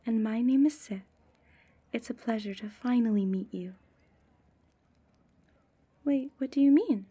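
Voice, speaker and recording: Woman voicing a Norse goddess, sly, sharp-tongued, husky edge, higher register, ASMR audio drama. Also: unhurried, long pauses. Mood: friendly, curious.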